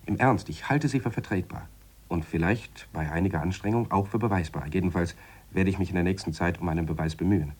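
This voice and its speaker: An actor playing a deliberate, wry scientist in a radio play, explaining his theories in a measured, dry manner. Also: sits low, a little mellow, slightly rough. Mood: earnest, reasoning. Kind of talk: radio play dialogue in a reflective, lecturing tone.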